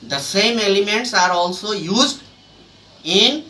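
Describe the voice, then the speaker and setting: A man with a Bangladeshi accent giving a formal classroom lecture as a teacher, in a deliberate, halting phrase-by-phrase way, recorded in an echoey room. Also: sits high, expressive, bright, forceful tone. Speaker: English grammar teacher. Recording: echoey room, distant mic, faint noise.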